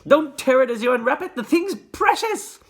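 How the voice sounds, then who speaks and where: A reader voicing a jolly, excitable fat male shopkeeper in a storybook reading, shouting and laughing. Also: high-pitched, normal speed, breathy edge, voice pushed up, exaggerated voice. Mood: ecstatic shouting. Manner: excited yelling, breaking into laughter.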